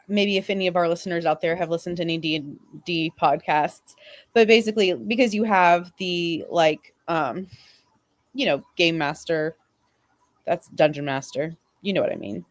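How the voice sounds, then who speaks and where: A woman giving casual, rambling opinions as a panelist, in an informal way full of filler words. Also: fairly low pitch. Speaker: fan panelist.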